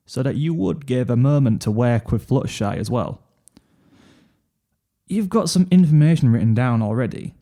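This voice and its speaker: A man's voice: mid-range voice, warm tone. A man narrating a second-person fan fiction story.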